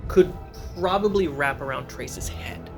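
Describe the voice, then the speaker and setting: A game master narrating a fantasy tabletop adventure in a measured way, pausing between short atmospheric phrases. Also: mid-range voice. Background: cinematic background music.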